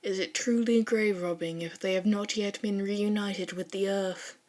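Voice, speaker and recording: A woman's voice: medium pitch, steady tone, normal speed, a little mellow, natural voice. Woman as a solemn, formal gothic teenage boy. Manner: solemn, archaic formal phrasing.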